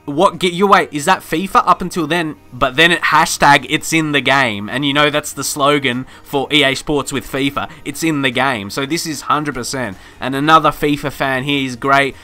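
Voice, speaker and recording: A man's voice: mid-range voice, fairly even intonation, moderate pace, hardly any pauses, pushed and bright, faint music behind. A man talking casually as a gaming YouTuber in a voice-over, chatty and rambling with many fillers and restarts. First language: not Dutch.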